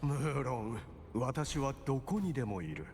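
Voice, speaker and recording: An actor playing a smug shapeshifting villain cleric in a game, composed, mocking and theatrical. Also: male voice, mid-range voice, expressive, moderate pace, darkish tone, natural voice. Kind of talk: Japanese game voice acting. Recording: long hall reverb, game music behind. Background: game music.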